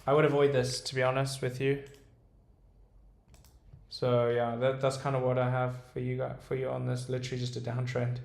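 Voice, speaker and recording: A young man with a British accent chats his way through trading charts on a live stream, his voice low in melody and gravelly at the edges. He talks in a relaxed, halting way, full of fillers and restarts. The sound bounces around a roomy space. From Dubai. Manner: relaxed, hesitant, many ums and restarts. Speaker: day trader streamer. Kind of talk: casual livestream chart commentary, reading chat. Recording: roomy echo.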